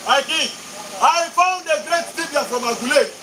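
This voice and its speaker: Casting: male actor as proud, argumentative Nigerian court elder, very high strained pitch, theatrical stage delivery, noisy live recording. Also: moderate pace. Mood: earnest, deferential. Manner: emphatic, repetitive, declamatory. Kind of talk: theatrical stage play acting.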